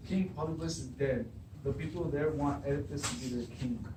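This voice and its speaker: A man's voice: mid-range voice, normal speed, close to an everyday voice. A man acting a plain-spoken messenger and former shepherd in an amateur stage reading. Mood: eager. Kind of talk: beginning drama class readers theatre. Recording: echoey room, distant mic, clearly noisy.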